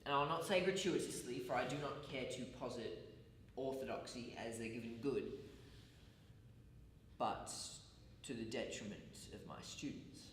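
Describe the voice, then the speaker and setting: A man performing a stage monologue as an earnest, self-justifying college teacher with formal, pedantic, halting phrasing. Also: mid-range voice, a bit bright, close to an everyday voice. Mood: earnest, confiding. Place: large echoey room at a college. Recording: echoey hall, distant mic, faint noise.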